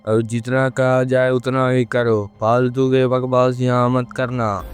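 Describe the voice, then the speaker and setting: A man's voice: mid-range voice, level tone, slow pace, clean tone, faint music behind, lightly acted. A man voicing a commanding, impatient blood-drinking fiend in a horror cartoon, curt and menacing. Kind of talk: Hindi horror cartoon voice acting.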